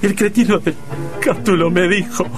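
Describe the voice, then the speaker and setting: Guy playing an overdramatic older buenos aires dad, high whiny voice, feels sorry for himself, radio comedy with music. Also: steady tone, normal speed, close to an everyday voice. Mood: plaintive, melodramatic. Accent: Buenos Aires Argentine. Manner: whining, plaintive, overwrought. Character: melodramatic, self-pitying older tango-loving father. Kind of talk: comic radio play acting.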